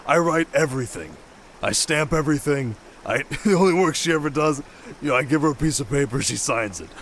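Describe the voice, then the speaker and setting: A man's voice: a little high, expressive, a little fast, a bit bright, a little hiss or hum. A man playing a chummy, scheming oni aide in a fantasy audio roleplay, griping in casual, colloquial talk. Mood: amused griping.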